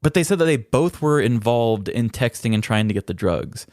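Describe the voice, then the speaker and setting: A man's voice: mid-range voice, lively intonation, normal speed, darkish tone, dry recording, clean studio sound. A man co-hosting a podcast in a casual, conversational way, musing aloud. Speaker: podcast co-host. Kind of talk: casual chat.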